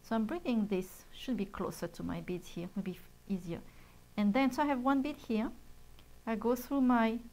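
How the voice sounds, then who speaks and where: Soft-spoken woman explaining beading steps. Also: a little high, moderate pace, rough recording. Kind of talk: casual show-and-tell and beading tutorial. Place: bright studio set at a table.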